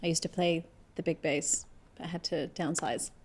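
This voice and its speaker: A woman's voice: deep voice, steady tone, normal speed, a little mellow, slightly rough. A woman, a folk singer and banjo player, chatting casually in an interview.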